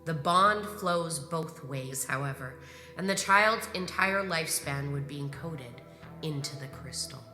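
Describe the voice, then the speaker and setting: An actress playing a composed, commanding imperial grand marshal in a sci-fi audio drama, measured and probing. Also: female voice, low voice, bright, forceful tone. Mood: ominous, measured. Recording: echoey hall reverb, music underneath.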